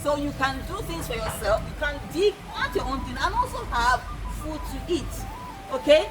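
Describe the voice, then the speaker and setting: A woman's voice: high voice, sing-song, moderate pace, music in the background. A woman with a Nigerian accent who tells folktales to children as an educator, repeating points and asking questions in a teacherly way.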